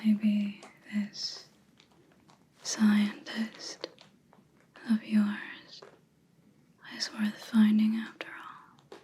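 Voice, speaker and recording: A woman playing a cold, menacing vampire hunter in a whispered ASMR roleplay, speaking slowly and deliberately with quiet threat. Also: mid-range voice, monotone. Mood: cold, musing.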